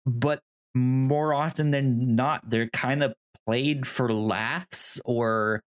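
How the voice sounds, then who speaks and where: A podcast co-host casually discussing films and comics in a halting way, often repeating sounds and words. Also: medium pitch, fairly even intonation. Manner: halting, frequent stammered repetition of sounds and words.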